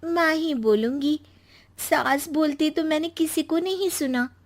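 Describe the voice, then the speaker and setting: A woman playing a suspicious, sharp-tongued young daughter-in-law in a family moral story, sweet to elders and snide in asides. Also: high voice, a little slow, pitched above the performer's own voice. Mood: sweet, eager.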